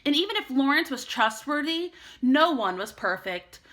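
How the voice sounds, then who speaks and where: A woman teacher reading a children's storybook aloud in an expressive way meant for young listeners. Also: sits high, normal speed.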